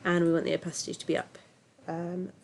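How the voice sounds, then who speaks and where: Stuffy-nosed woman, gravelly. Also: lowish voice, completely flat delivery, fast talker, a little mellow, slight background noise. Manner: chatty, self-deprecating, filler-heavy. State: congested from a cold. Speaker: tutorial host. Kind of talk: casual screen-recorded software tutorial.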